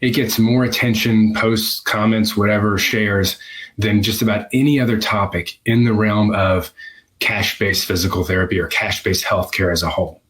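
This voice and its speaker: A man with a fairly low voice speaks as a business coach in a conversational instructional video. He leans on his key points, often repeating phrases for emphasis. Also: fairly even intonation, normal speed, a bit bright, rough recording. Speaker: business coach for physical therapists.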